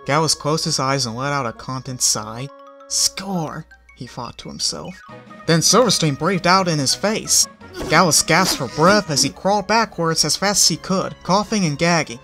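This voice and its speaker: A fanfiction reader narrating a storybook in a steady, even way. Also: mid-range voice. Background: faint music.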